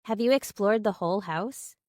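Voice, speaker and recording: Woman voicing an excitable, nosy friend, pitched up. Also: normal speed, dry recording, clean studio sound, close to an everyday voice. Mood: curious. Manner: emphatic, exclaiming, eagerly questioning. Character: nosy, excitable female friend, pushy and dramatic. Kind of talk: audiobook character dialogue.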